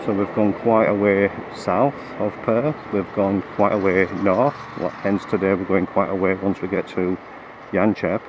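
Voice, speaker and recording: A man with a low, level voice made croaky by a lingering cold talks while riding his motorbike. He rambles through the route with frequent ums and self-corrections. Steady engine and wind noise sit behind him. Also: moderate pace, highs cut off. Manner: hesitant, many fillers and restarts. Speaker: motorcycle vlogger. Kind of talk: rambling ride commentary. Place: on a motorcycle on the road.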